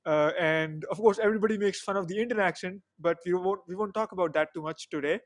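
A man's voice: high voice, fast. A young man hosting a podcast over a video call, chatty and full of "you know" fillers, on a headset mic. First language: not English. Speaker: podcast host. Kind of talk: casual interview chat. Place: small room at home on a video call. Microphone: headset microphone.

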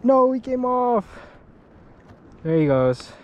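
Slow, quiet young man fishing outdoors. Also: extremely high pitch, big pitch swings, lo-fi sound. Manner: quiet, admiring, talks to viewers while fishing. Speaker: fishing vlogger. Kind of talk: casual fishing commentary. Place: outdoors by a mountain lake and stream. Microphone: body-mounted action camera. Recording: outdoor action camera with water noise. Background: water and wind.

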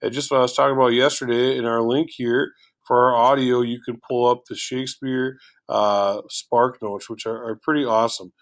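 A man with a low voice teaching a recorded lesson through a shared screen, casual and full of filler words. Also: steady tone, normal speed, few pauses.

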